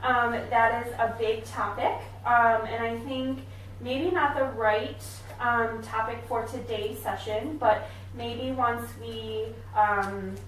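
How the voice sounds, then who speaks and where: A woman's voice: fairly high pitch, fairly even intonation, slow pace, fairly bright tone. A woman playing an encouraging, careful family therapist in a role-played session, speaking hesitantly and affirmingly. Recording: very echoey room, distant mic, faint noise.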